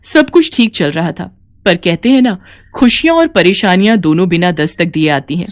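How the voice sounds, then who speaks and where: A woman's voice: on the low side, animated, normal speed, no room sound. Woman narrating a story, dry close sound.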